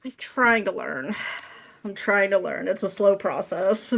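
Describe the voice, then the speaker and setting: A woman's voice: mid-range voice, animated, unhurried, vocal fry, fairly bright tone. A woman chatting casually as a podcast guest, with a playful, jokey and slangy way of talking. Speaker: podcast guest, script writer. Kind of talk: casual chat.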